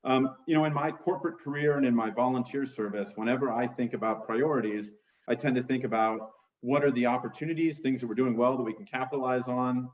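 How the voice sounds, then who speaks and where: A middle-aged man running for school board, giving a measured, organized opening statement over a video call. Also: mid-range voice, a little mellow, roomy sound, muffled recording. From United States.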